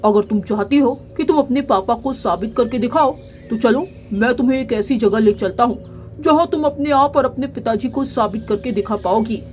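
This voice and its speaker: A woman's voice: on the high side, normal speed, a little mellow, a little hiss or hum, lightly acted. A woman voicing a friendly young male cartoon pigeon, curious and supportive. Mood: encouraging.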